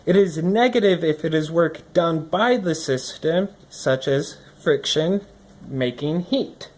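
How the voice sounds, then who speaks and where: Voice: mid-range voice, lively intonation, takes it slowly. A person reading a scripted lesson aloud, explaining it with think-aloud asides, recorded indoors on a phone at a desk. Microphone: phone recording from above the desk.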